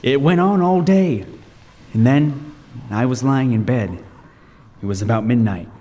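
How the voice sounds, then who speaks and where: A man's voice: mid-range voice, measured pace, warm tone, close to an everyday voice. An actor playing a lighthouse keeper who tells his horror story with vivid, suspenseful intensity. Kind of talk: dramatic first-person narration in radio table read. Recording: roomy long reverb, faint noise.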